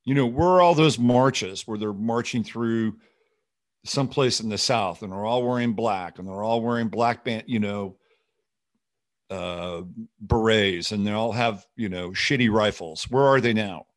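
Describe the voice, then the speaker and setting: A man's voice: lowish voice, unhurried. A man hosting a show with rambling, skeptical, sardonic and often profane unscripted political commentary.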